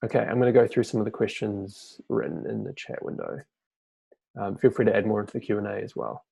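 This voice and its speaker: A man hosting a live webinar, reading out audience questions and answering them in a relaxed, off-the-cuff way over a video-call mic. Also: fairly low pitch, fast talker, vocal fry, breathy edge, no room sound. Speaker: fellowship team member hosting a webinar Q&A.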